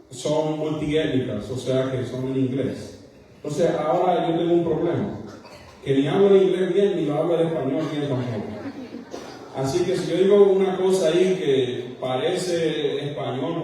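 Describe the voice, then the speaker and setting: A man with a Dominican accent delivers a sermon in a high, mellow voice. He speaks emphatically but often stops to correct himself. The sound is heavily echoing, with the long reverb of a large hall. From Dominican Republic, partly raised in Queens, New York. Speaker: pastor, preacher. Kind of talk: church sermon. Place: church sanctuary, speaking to congregation. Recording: very echoey large hall, distant microphone.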